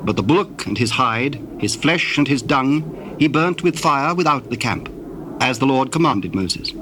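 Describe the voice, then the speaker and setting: A man narrating dramatized scripture in a solemn, measured storytelling manner. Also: medium pitch, lively intonation, noisy background.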